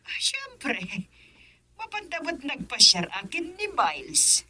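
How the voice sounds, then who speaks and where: Smug Filipino doña, bright and forceful, lively pitch swings, radio drama matron. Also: female voice, slow, heavily acted. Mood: smug, teasing.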